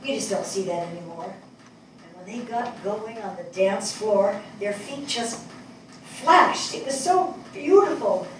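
An older woman acting a thoughtful writer in a stage play reading, telling her story with expressive, wistful, deliberate phrasing. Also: mid-range voice, close to an everyday voice. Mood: wistful wonder. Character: thoughtful, attentive New York woman writer. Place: on stage in a theatre before an audience. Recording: distant mic, echoey hall, audible noise.

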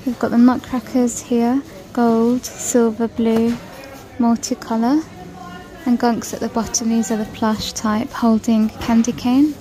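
A woman's voice: on the high side, little pitch movement, a little slow, mellow, low-quality recording. A woman vlogging in a large store aisle, chatting casually and reading out prices as she browses. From United Kingdom. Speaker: shopping vlogger. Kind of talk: casual shop-with-me narration. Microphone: handheld phone camera.

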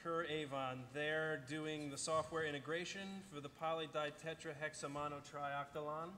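A sincere, bewildered young man, acted live by a male performer. His voice sits a little high and he speaks haltingly. The hall echoes, with noise behind. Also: steady tone, natural voice. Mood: puzzled. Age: young adult. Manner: hesitant, halting. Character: bewildered, sincere young boyfriend under questioning. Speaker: actor in a live table read. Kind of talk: live dramatic script reading. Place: large convention hall panel stage. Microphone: table microphone.

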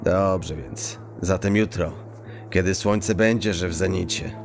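An actor playing a scheming, chummy street thief who talks in quirky, halting, mock-archaic phrases. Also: male voice, low voice, steady tone, vocal fry, faint music behind. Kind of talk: acted fantasy audio drama dialogue.

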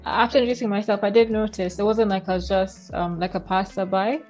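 A woman's voice: medium pitch, level tone, quick pace, darkish tone, soft background music. A young woman from Kenya hosting her vlog, reminiscing in a chatty way with frequent restarts, talking over a headset on a video call at home. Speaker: vlogger host. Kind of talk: casual video call chat. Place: home room with shelves, indoors.

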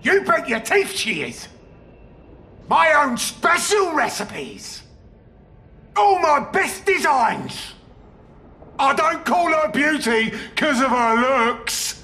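An actor playing a proud, manic ork mechanic in a video game, boastful and raspy with a Cockney accent. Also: male voice, very high-pitched, big pitch swings, takes it slowly, long pauses, exaggerated voice. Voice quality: raspy, gravelly. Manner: forceful, boastful. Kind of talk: video game character acting.

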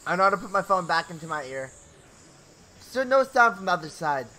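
A man's voice: high voice, very animated, a little fast, sharp tone. A young man hosting a gaming video, reading story narration theatrically with casual asides and the odd stumble over words. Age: young adult. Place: small home bedroom. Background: game music.

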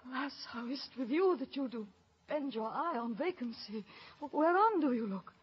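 Casting: anguished middle-aged queen, high pleading theatrical voice, muffled vintage radio drama sound. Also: lively intonation, normal speed, slightly rough, heavily acted. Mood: fearful, sorrowful. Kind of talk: classical Shakespearean 1950s radio acting. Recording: muffled 1950s radio recording.

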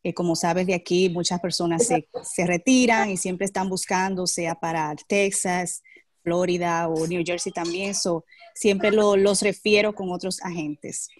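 A woman's voice: on the low side, fairly even intonation, unhurried, dry recording. A woman real estate agent introducing herself on a video call, with many hesitation fillers. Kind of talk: casual self-introduction.

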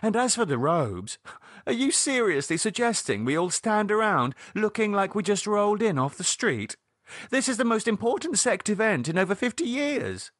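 A haughty British woman, as a male narrator puts her on in a gothic audiobook. She is sensual, arch and sardonic, pitched high with wide swoops, in a dry close recording. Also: big pitch swings, moderate pace, fairly bright tone, breathy edge, dry recording, full character voice. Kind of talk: audiobook character dialogue.

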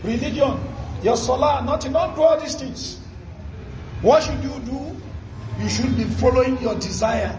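High-pitched preacher man in echoey room. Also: moderate pace, darkish tone, a little hiss or hum. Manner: emphatic, asks rhetorical questions and answers them. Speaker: Islamic preacher. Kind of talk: Friday sermon. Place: study with bookshelves.

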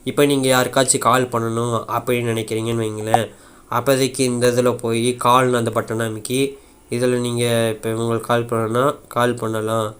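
A man's voice: mid-range voice, flat delivery, fairly quick, a bit bright, clear, resonant tone. A man casually walking viewers through a phone app in an informal, rambling way with repeated filler phrases. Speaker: tech YouTuber. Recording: faint background noise.

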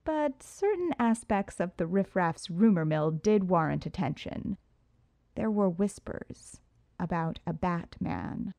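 Woman, audiobook narration, first person, sultry and poised, low-leaning pitch, dry close studio sound. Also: lively intonation, takes it slowly, creaky, warm tone, no room sound.

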